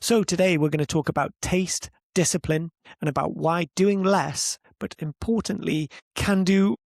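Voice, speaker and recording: A man in his forties with a higher-than-usual, gravelly voice hosts a podcast. He speaks in a measured, slightly formal way, and the recording is dry and close. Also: steady tone, no echo. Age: 40s. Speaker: podcast host. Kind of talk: scripted intro then casual interview.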